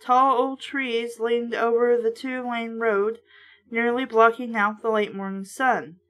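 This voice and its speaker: A woman's voice: a little high, flat delivery, measured pace, a bit bright. A woman reading a storybook aloud and breaking off for casual, sarcastic, sweary commentary. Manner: blunt, sarcastic, swears freely.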